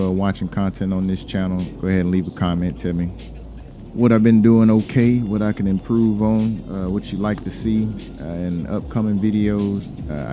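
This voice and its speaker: An American man giving casual, chatty gameplay commentary in a slangy, laid-back, rambling way. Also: low-pitched. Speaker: gaming YouTuber hosting a roleplay video.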